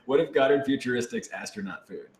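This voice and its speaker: A man's voice: medium pitch, fast talker. A man in a casual group Q&A, talking informally as one of a production team and playfully chipping in. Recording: roomy, echoey sound.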